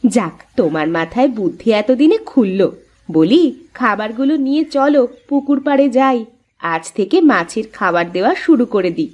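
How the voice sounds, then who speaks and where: A woman's voice: a little high, lively intonation, normal speed, a little mellow, natural voice. Woman acting a bossy, swooping village wife. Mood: pleased, bossy. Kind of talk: Bengali moral-story cartoon dubbing.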